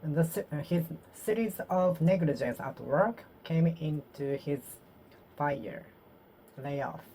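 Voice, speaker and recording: A Japanese woman with a Japanese accent practicing English aloud to herself, halting and repeating phrases as she corrects them. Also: low-pitched, mellow, slight background noise, poor audio. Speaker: vlogger. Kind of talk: solo English speaking practice, talking to herself.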